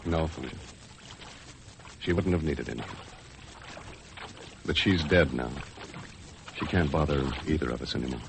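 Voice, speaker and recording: An actor playing a gruff, weary boat passenger in a halting, curt way, in 1950s radio drama style. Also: male voice, a bass voice. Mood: subdued. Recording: noisy old radio recording.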